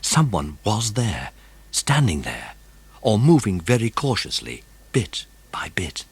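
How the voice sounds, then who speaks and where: A man narrating a children's mystery audiobook in a suspenseful, expressive way. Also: on the low side, slow pace, husky.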